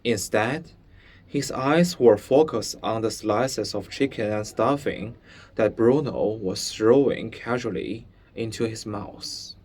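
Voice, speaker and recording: A young man reading a story aloud as a student, in a halting, deliberate way. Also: on the low side, a little mellow. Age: young adult. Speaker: student reader. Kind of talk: storybook read-aloud narration.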